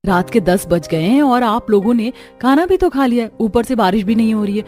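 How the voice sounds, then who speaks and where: A woman's voice: on the high side, lively intonation, a little fast, darkish tone, soft background music, natural voice. A woman voicing a dutiful, harried young daughter-in-law in a cartoon story. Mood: obliging. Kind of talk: Hindi cartoon story dialogue.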